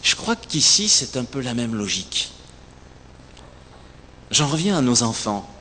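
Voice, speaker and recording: A man's voice: medium pitch, big pitch swings, pushed and bright. Echoey male preacher. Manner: rhetorical, emphatic, with deliberate repetition and pauses. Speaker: pastor. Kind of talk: sermon. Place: large reverberant hall, speaking to a congregation. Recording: echoey hall, long reverb, some background noise.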